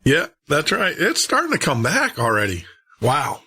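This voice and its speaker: A man's voice: on the high side, wildly swooping pitch, moderate pace, vocal fry, pushed and bright, breathy edge. A man hosting a radio show and podcast, talking to listeners in a casual, rambling, chatty monologue.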